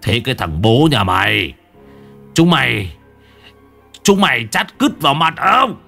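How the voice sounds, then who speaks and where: A man voicing an enraged older village chief who shouts and curses at his betrayers. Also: on the low side, big pitch swings, moderate pace, gravelly, faint music behind, full character voice. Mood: furious. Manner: furious shouting and cursing.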